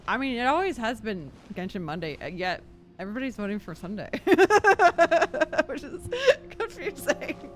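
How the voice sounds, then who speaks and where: A woman live-streaming a game, chatting casually with viewers in a giggly, reactive manner. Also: medium pitch. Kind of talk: casual live gameplay chat. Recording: game music behind the voice. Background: video game music.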